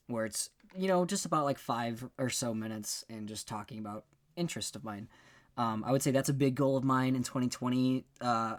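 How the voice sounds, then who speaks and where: Male podcast member, medium pitch, conversational, rambling and modest about himself. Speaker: podcast member and amateur voice actor. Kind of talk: casual podcast chat.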